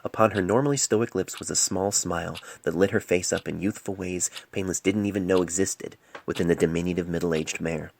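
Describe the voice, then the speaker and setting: A man narrating a scripted story in a solemn, measured way, recorded amateurishly on a cell phone mic. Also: deep voice, fairly even intonation, gravelly, darkish tone. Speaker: amateur fan fiction author and narrator.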